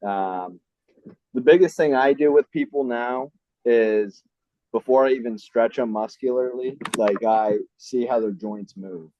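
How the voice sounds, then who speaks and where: Casual trainer explaining with "I mean"s. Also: male voice, mid-range voice, measured pace, slight background noise. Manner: loose and conversational, many fillers like "I mean" and "right?". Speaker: mobility and stretching trainer. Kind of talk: casual explanatory interview answers. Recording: dull lo-fi sound.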